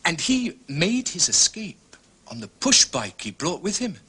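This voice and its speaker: Casting: dour inspector, very raspy, slightly high edgy voice, deliberate and doubting. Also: male voice, big pitch swings, pushed and bright, heavily acted. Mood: dutiful, firm. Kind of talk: British detective drama acting.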